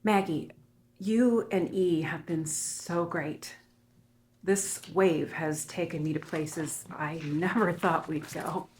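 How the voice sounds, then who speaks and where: A woman reading a soft, weary woman. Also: on the low side, fairly even intonation, slow pace, a little hiss or hum. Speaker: actor in a table read. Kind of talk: screenplay table reading.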